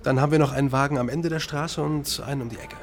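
An actor playing a wry undercover officer posing as a hotel receptionist in a crime radio drama, polite and matter-of-fact. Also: male voice, mid-range voice, steady tone, fast, close to an everyday voice. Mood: wry, reassuring. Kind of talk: naturalistic German crime radio drama acting. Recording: clear background noise.

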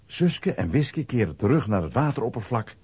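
A man with a husky voice narrating a scripted children's audio play as storyteller. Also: mid-range voice, big pitch swings, normal speed, a little mellow, slight background noise.

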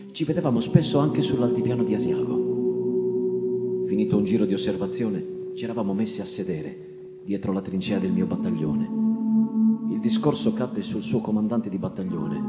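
A low-voiced man acting as a wistful First World War officer recites his memories of the trenches like literature on a stage. He stretches words and lets his emphasis swell and fade, in a strongly echoing sound over prominent guitar and percussion music. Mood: somber, reflective. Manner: theatrical, with drawn-out words and swelling and fading emphasis. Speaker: actor, reciting voice.

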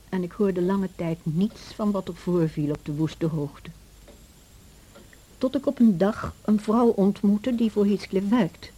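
An actress playing a sober, upright housekeeper telling her tale in a radio drama, with a husky voice. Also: mid-range voice, dark tone, natural voice, low-quality recording.

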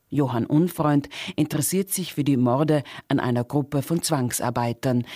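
A man presenting on radio, reading a scripted introduction in a measured, factual, literary manner. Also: medium pitch, mellow, no room sound, studio quality.

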